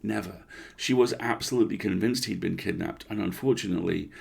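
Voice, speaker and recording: A man narrating a horror story in the first person, conversational and deliberate, with dramatic pauses. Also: deep voice, creaky, a little mellow, slightly rough.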